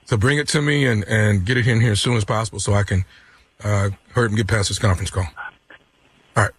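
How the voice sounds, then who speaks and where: A man's voice: fairly low pitch, steady tone, fast talker, fairly bright tone, slight background noise, close to an everyday voice. A man playing an apologetic office manager who has to break bad news, hesitant and stammering. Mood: confiding, resigned. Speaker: comedian doing prank phone calls.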